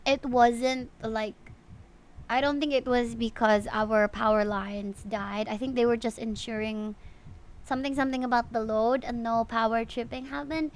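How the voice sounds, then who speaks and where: A woman hosting a casual game livestream, chatty, giggly and quick to react as she talks with viewers. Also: on the high side, steady tone. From Philippines. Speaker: livestream host.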